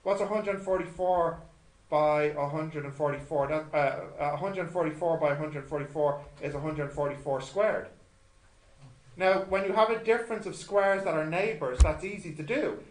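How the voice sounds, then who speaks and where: A man's voice: on the high side, normal speed. A man tutoring maths informally, thinking aloud as he works sums, self-deprecating and often asking checking questions. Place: indoor tutorial room with students. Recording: echoey room with noticeable noise.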